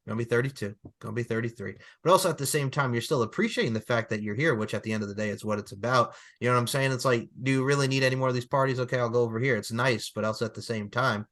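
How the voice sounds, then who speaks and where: Fast-talking man in his thirties. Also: mid-range voice. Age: 30s. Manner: animated, repeats filler phrases. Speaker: podcast co-host. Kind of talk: casual chat.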